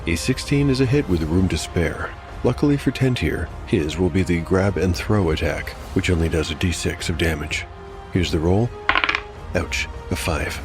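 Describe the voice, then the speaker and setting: A man's voice: sits low, normal speed. A man narrating a solo tabletop game as game master, moving between dramatic storytelling and wry asides about the dice. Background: background music.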